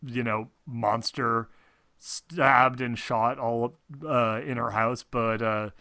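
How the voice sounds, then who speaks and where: A man runs a tabletop game as its game master. He improvises narration casually, with a halting, hedging delivery, in a dry-sounding recording. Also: mid-range voice, steady tone, sharp tone, no room sound.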